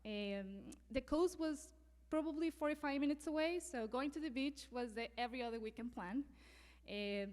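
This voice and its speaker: A woman's voice: high-pitched, big-room sound. A woman in her thirties from Venezuela giving a conference talk, earnest, laughing often and sometimes stumbling over a word. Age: 30s. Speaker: conference speaker.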